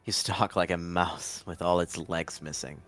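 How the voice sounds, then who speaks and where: Evil cat deputy , played by a man: low register, cunning, smoothly taunting, music underneath. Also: fairly even intonation, a little slow, fairly bright tone. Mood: cold taunting. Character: cold, cunning male warrior cat deputy, evil schemer. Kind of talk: text roleplay acted aloud.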